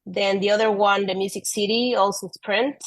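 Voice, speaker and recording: A woman in her thirties from Peru, speaking English as an as a podcast guest, soft-spoken and hesitant with frequent ums and light laughs. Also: mid-range voice, steady tone, moderate pace, dry recording, rough recording. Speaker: guest athlete. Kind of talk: casual interview.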